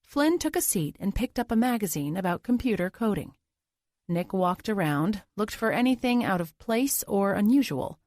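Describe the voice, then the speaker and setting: A woman's voice: mid-range voice, expressive, normal speed, a little mellow, dry recording. Woman narrating a thriller audiobook, dry sound.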